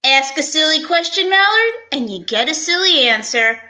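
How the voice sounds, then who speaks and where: A woman acts out a friendly homicide inspector in an old-time radio serial. The pitch is very high and the tone bright. He talks familiarly, like an old friend on the case. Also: expressive, normal speed. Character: easygoing male police homicide inspector, detective's old pal. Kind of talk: amateur old-time radio detective drama.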